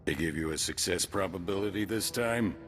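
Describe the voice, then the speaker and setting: A man playing a gruff, blunt soldier in a video game, talking in slang. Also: deep voice, a little fast, a bit bright, slight background noise. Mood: wry. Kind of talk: video game cutscene acting.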